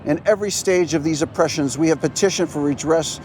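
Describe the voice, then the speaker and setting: A man's voice: fairly high pitch, fairly quick, darkish tone, a little hiss or hum. A man reading a historical document aloud, earnestly, leaning into each item of a list. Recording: clear music behind the voice.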